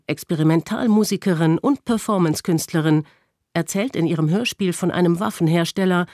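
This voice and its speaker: A woman's voice: on the low side, darkish tone, no echo, studio quality. A woman reading a scripted programme introduction in a factual, articulate way. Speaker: programme announcer.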